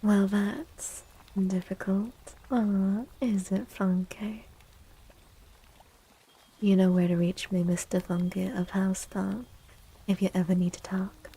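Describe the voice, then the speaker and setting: A soft-spoken woman with a breathy, creaky voice hosts an ASMR livestream. Her delivery is slow, gentle and soothing, often sinking close to a whisper. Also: medium pitch, flat delivery, rough recording. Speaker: livestream host and tarot reader.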